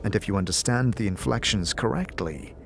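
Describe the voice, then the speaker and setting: A man's voice: fairly low pitch, a little fast, vocal fry. A man reading scripted second-person narration for a fantasy audio drama. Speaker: game-style narrator. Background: music.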